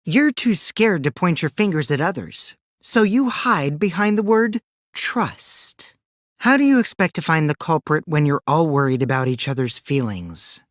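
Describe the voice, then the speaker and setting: A man's voice: fairly high pitch, lively intonation, unhurried, no echo, clean studio sound. An actor voicing a mischievous, taunting teenage boy who lies for fun in a video game, theatrical and teasing, swinging from sly to gleeful. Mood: scornful, sly. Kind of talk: animated video game voice acting.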